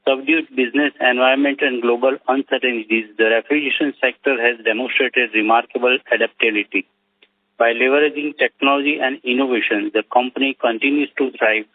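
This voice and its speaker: Conference-call voice: male business executive, Indian accent, formal scripted delivery, medium pitch, tinny phone audio. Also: dry recording. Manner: formal, reading from prepared remarks. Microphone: telephone conference call. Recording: narrowband, dry phone line.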